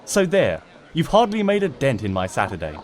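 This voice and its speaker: A man's voice: medium pitch, wildly swooping pitch, normal speed, slight background noise. A man voicing a flustered, indignant young female cartoon pony who is easily exasperated. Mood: defiant. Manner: stammering and muttering, snapping back at a narrator.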